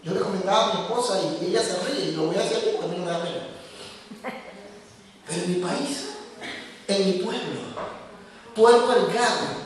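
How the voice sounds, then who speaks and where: A male pastor with a high-pitched voice delivers a sermon, speaking to the congregation directly in a conversational way. He sounds distant from the microphone, and the large church hall carries a long, ringing echo. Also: normal speed, pauses often. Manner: conversational preaching, addresses listeners directly. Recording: echoey hall from far off.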